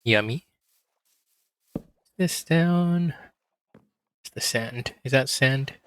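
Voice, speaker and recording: Hoarse guy mumbling along while he plays, super slow and chill, bit of hiss. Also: medium pitch. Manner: muttering to himself, slow and relaxed. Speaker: gaming video host. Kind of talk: casual gameplay commentary.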